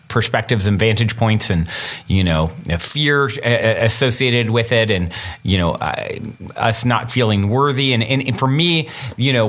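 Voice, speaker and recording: A man's voice: on the low side, a bit bright. A man hosting a spiritual podcast, musing aloud and filling his sentences with "you know". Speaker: podcast host. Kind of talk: reflective spiritual conversation.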